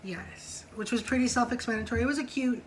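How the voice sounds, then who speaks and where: A woman's voice: fairly high pitch, fast, rough recording. Chatty woman with noise behind. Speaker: vlog host reviewing a TV show. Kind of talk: casual chatty review.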